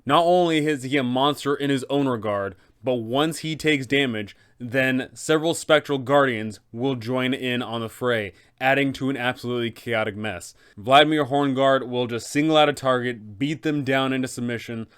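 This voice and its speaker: A man's voice: medium pitch, moderate pace, few pauses, a bit bright, clean tone. A man narrating advice for game masters, enthusiastic and speaking straight to viewers. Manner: enthusiastic, addresses viewers directly. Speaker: game master guide host.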